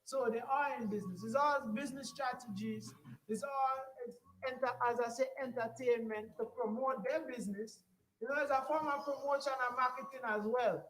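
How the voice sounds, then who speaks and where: A woman's voice: high voice, moderate pace, roomy sound, poor audio. A woman, from Grenada, co-hosting a casual talk radio discussion in an opinionated, lecturing way. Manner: opinionated, lecturing, many fillers.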